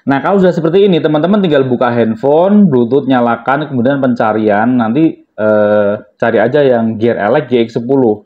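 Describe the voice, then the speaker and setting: A man's voice: medium pitch, moderate pace, narrow, tinny audio. A man casually demonstrating a product for viewers, explaining it informally step by step. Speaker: product reviewer, vlogger.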